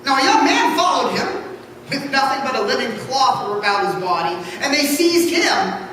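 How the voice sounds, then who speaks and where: A male pastor giving a solemn, dramatic scripture reading with measured, emphatic phrasing. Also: very high voice, very animated, sharp tone. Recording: echoey, noisy hall from far off.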